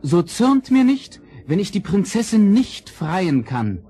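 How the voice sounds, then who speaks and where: An earnest, high-voiced young prince. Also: male voice, sing-song, slow pace, mellow, slightly rough, full character voice. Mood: solemn. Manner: declamatory, formal. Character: earnest, noble young fairy-tale prince. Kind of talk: theatrical children's radio play acting.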